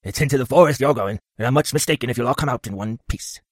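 A man's voice: medium pitch, quick pace, no room sound, studio quality, natural voice. A man playing a cruel, leering school caretaker in an audiobook, nasty, gloating and menacing in manner. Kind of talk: audiobook character acting.

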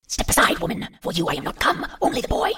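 A man voicing a cold, high-voiced male villain who speaks in a breathy whisper. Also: fast talker, bright, forceful tone, lightly acted. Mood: cold, menacing. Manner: cold and menacing.

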